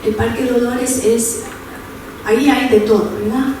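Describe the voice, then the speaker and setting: A woman's voice: on the high side, fairly even intonation, measured pace, far from the mic. A woman from El Salvador, raised in San Francisco, leading a workshop in a conversational way, with many fillers and tag questions. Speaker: workshop presenter. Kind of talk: informal academic workshop talk. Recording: echoey room picked up from afar with noise.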